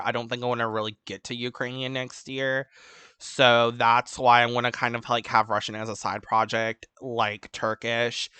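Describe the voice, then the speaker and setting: Casual vlog host, male, mid pitch, bright and crisp, dry close sound, hesitant and rambling with lots of ums. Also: dry recording. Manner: rambling, full of ums and restarts; enthusiastic about languages. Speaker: language-learning channel host. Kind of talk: casual unscripted vlog update.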